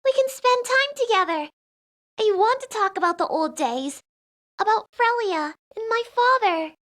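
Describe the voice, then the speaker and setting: A woman's voice: very high voice, expressive, a little slow, fairly bright tone, full character voice. A woman playing an earnest, affectionate, sheltered young princess with a girlish manner in a video game fandub. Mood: wistful, fond.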